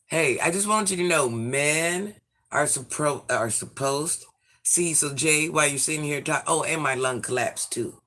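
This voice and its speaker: A man in his forties, hoarse from illness, chatting sassily with viewers on a livestream and reading out their comments. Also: a little high, measured pace, sharp tone. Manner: sassy, chatty, addresses viewers by name. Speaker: livestream host.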